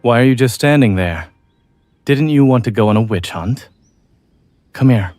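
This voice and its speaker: A man acting a reserved, dry-witted, quietly caring doctor in an amateur audio drama, measured and understated. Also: mid-range voice, animated, no echo, soft background music, natural voice. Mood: measured, practical.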